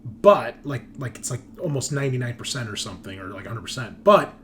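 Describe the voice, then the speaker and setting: A man's voice: medium pitch, expressive, quick pace, a little hiss or hum. A man acting as game master, chatting casually and explaining the game's backstory in a rambling, conversational way.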